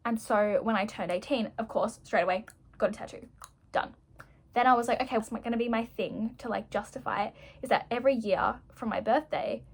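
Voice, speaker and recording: A young woman turning twenty-one, chatting casually to camera while doing her makeup, rambling, self-mocking and full of "like"s. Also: on the high side. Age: young adult. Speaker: vlogger.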